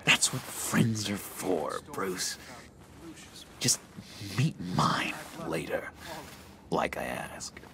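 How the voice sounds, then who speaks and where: An actor playing an eager, unhinged young schemer in a video game, halting and wheedling with sinister warmth. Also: male voice, sits low, very animated, background noise, full character voice. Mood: coaxing, fond. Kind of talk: video game character acting.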